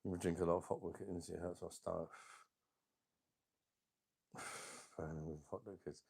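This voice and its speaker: Deep-voiced man with a sore throat. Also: steady tone, moderate pace, vocal fry, a little mellow, breathy edge. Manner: rambling, laid-back, chuckling between remarks. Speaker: beer review channel host. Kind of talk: casual livestream chat reading viewer comments.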